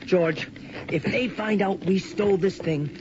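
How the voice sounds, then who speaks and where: A man's voice: high-pitched, measured pace. An actor playing a nervous, lovesick young male medical student who speaks hesitantly. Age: young adult. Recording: dull radio play with loud noise.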